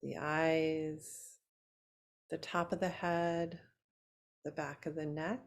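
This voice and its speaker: A woman teaching yoga nidra, guiding a relaxation meditation in a soothing, unhurried way with long pauses between short phrases. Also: low voice, little pitch movement.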